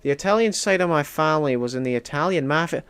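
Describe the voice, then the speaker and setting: A man giving casual gameplay commentary, talking to himself and swinging between loud exclamations and quiet mutters. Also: a little high, expressive, fast, clear, resonant tone. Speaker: gaming streamer. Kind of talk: casual let's-play commentary.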